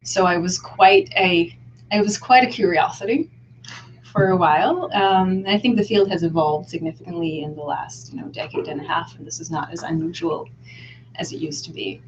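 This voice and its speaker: A woman, a guest scholar in an interview, speaking thoughtfully and pausing to find her words. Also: fairly low pitch, slight background noise. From Israel. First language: Hebrew. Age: 30s. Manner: thoughtful, reflective, pauses to search for words. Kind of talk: casual interview. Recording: lo-fi online video call.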